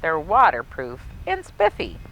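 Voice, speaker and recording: Woman voicing a fussy, lively, overprotective parent. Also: deep voice, a little slow, a little hiss or hum, voice pushed down, exaggerated voice, low-quality recording. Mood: fussy, insistent. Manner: interrupting, insistent, nagging. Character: fussy, bossy, overprotective parent bundling up a monster.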